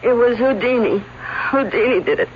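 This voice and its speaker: An actress playing the distressed wife of a stage escape artist in a 1970s radio drama, emotional and insistent. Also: female voice, fairly high pitch, animated, moderate pace, lightly acted. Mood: distressed, regretful. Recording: muffled, faintly noisy old radio broadcast.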